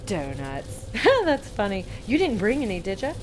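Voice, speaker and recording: An actress playing a teasing, spirited agent sidekick in an audio drama. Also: female voice, mid-range voice, huge pitch swings, normal speed, soft background music, rough recording. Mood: teasing, curious.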